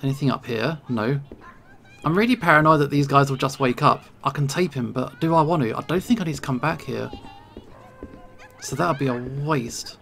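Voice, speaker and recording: A gamer giving jittery, nervous let's play commentary, thinking out loud while playing. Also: mid-range voice, normal speed, gravelly. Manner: nervous, jittery self-talk. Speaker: video game streamer.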